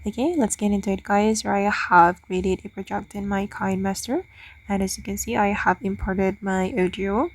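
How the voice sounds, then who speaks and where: A woman hosting a spoken software tutorial, explaining things simply, one step at a time. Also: medium pitch, fairly even intonation, normal speed. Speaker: tutorial host.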